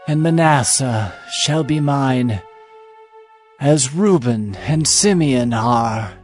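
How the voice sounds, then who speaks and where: An elderly, dying father of a biblical family, played by a man, speaks in a solemn scripture drama. His voice sits a little high and moves extremely slowly, phrase by halting phrase. Soft music plays underneath. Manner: slow, halting, solemn delivery. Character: aged dying patriarch, solemn father blessing his sons.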